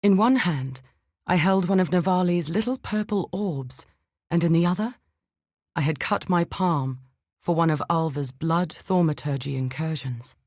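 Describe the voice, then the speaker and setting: An actress playing an earnest, scholarly archaeologist in a video game, speaking in an articulate, formal and measured way. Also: female voice, sits low, a little mellow, no room sound, studio quality. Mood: awed, intense. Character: earnest scholarly female archaeologist, cautious and idealistic. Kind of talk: scripted video game dialogue.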